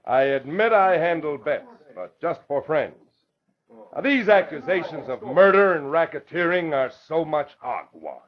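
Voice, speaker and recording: A muffled old radio drama recording of a smug gangland boss. His fairly high voice swoops widely as he puts on a self-righteous businessman front, in classic 1940s radio style. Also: lively intonation, measured pace. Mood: indignant, protesting. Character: cocky middle-aged male racketeer posing as honest bookmaker.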